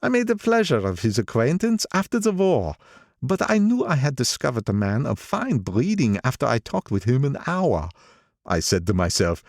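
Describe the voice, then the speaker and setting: A gloomy, sentimental old gangster who drags out his words with heavy, brooding weight, as a man puts him on. His voice rides much higher than the reader's own and swings widely in pitch. The sound is close and dry. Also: no echo. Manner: slow, heavy, brooding delivery. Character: older male gangster, gloomy, sentimental, boastful. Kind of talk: audiobook character voice.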